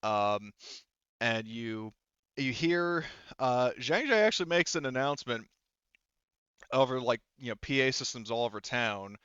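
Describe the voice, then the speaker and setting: A man narrating as game master in a casual, halting, informal way, thinking aloud as he goes. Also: mid-range voice, lively intonation, a bit bright, clean tone, no room sound, lo-fi sound.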